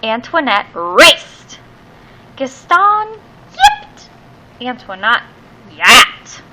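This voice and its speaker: A woman reading a children's picture book aloud expressively, with theatrical, drawn-out emphasis on key words. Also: sits high, big pitch swings, very noisy.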